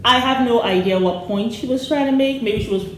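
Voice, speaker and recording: A woman from Sierra Leone with a West African accent, co-hosting a casual chat and telling stories in an animated way, acting out the voices of the people in them. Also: fairly high pitch, fairly quick, slight background noise. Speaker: podcast co-host. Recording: very echoey room.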